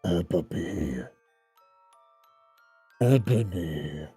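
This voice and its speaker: Someone doing a slow, raspy evil dark lord. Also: low-pitched, animated, no room sound, faint music behind, voice pushed down, exaggerated voice. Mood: menacing. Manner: mock-archaic, commanding, slow menace. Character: evil dark lord, menacing male villain, archaic speech.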